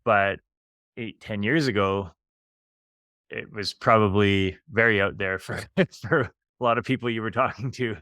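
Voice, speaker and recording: A man's voice: fairly low pitch, measured pace, bright, forceful tone, dry recording. A man hosting a podcast who reads an upbeat scripted introduction with emphasis, then asks interview questions. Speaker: podcast host.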